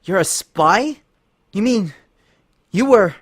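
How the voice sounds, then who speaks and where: A man acts out a young female unicorn in a storybook tale, paranoid and intense. The voice is lifted high and moves dramatically, full of demanding emotion, in a dry, close recording. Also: expressive, slow, slightly rough, no echo, full character voice. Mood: shocked. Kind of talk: dramatic audiobook character reading.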